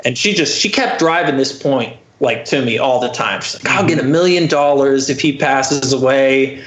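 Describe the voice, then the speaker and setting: A man's voice: medium pitch, normal speed, a bit bright. A man in his thirties telling a personal story as a podcast guest in a casual, wry, self-deprecating way. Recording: roomy, echoey podcast recording.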